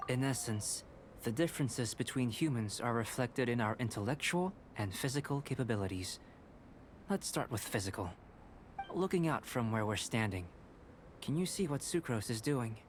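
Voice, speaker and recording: A man voicing a calm, methodical young alchemist. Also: medium pitch, a little hiss or hum, close to an everyday voice. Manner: measured, courteous, analytical. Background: game music.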